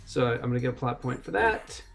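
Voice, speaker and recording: A man's voice: medium pitch, animated, brisk, vocal fry, faint music behind. A man playing a tabletop roleplaying game, chatting casually and hesitantly as he thinks aloud.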